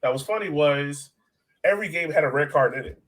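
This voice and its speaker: A man co-hosting a soccer fan podcast, talking in a casual, excitable way. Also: on the high side, brisk. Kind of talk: casual sports podcast chat.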